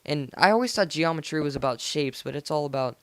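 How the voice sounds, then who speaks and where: A man's voice: on the high side, animated, fast talker, background noise. A teenage American male chatting casually as he narrates a gaming video, rambling in an informal, slangy way. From United States. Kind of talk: casual game commentary. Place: voice-over recorded indoors after gameplay.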